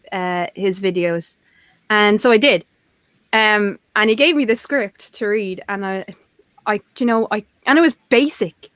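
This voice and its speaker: A woman's voice: mid-range voice, fairly bright tone, smooth, no echo, clean studio sound. A middle-aged woman co-hosting a livestream chat, rambling and self-deprecating, laughing often. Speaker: co-host of a livestream talk show. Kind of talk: casual chat.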